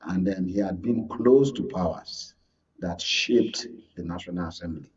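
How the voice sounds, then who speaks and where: A Nigerian man giving unscripted political commentary as a guest analyst, explaining in a halting way. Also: sits low, darkish tone, breathy edge, far from the mic, lo-fi sound.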